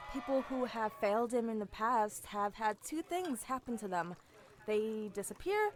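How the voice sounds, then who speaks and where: A woman acting a young elf assassin in a fantasy audio drama, charming and sweetly feigning innocence. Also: fairly high pitch. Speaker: voice actor in an audio roleplay.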